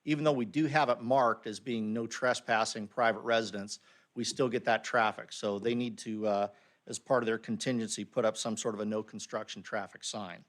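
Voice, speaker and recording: A man's voice: lowish voice, fairly even intonation, normal speed, a bit bright. A man speaking unscripted at a public hearing as a local resident, plainspoken, with frequent ums and uhs. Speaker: resident giving public comment.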